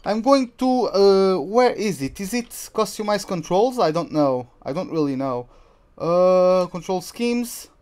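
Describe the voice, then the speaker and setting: A man from Portugal, streaming a racing game and chatting excitedly through the action. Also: high voice. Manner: chatty, reacts excitedly to the race. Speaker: gaming streamer. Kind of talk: live game commentary.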